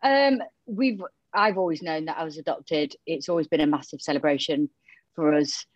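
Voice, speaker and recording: A woman's voice: low voice, moderate pace, dry recording. A woman from Romania with a Yorkshire accent, chatting openly as a podcast guest. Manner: chatty, open, many fillers. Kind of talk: casual interview chat. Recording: dull muffled audio.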